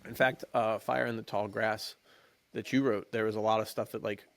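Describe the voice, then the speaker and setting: A man's voice: fairly low pitch, level tone, normal speed, creaky, a bit bright. A man co-hosting a podcast, chatting casually and enthusiastically in slang about adventure stories. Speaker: podcast co-host. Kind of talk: casual chat about adventure books and films.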